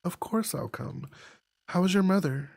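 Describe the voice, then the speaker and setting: A woman voicing a gentle, considerate young woman in a visual novel. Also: fairly low pitch, a little slow, gravelly, husky, dry recording, pitched above the performer's own voice, heavily acted. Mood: concerned.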